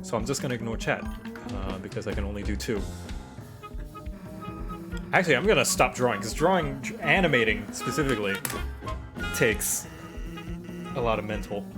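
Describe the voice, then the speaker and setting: A man with a slight stutter chatting casually on a livestream, explaining his creative process as an animator in a halting, rambling way. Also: mid-range voice, expressive. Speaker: streamer and animator. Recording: music playing behind.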